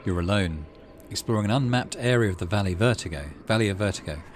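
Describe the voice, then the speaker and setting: A man doing a casual video game playthrough, reading story text aloud in measured narration with muttered asides. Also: fairly low pitch. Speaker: gaming channel host. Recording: noticeable background noise.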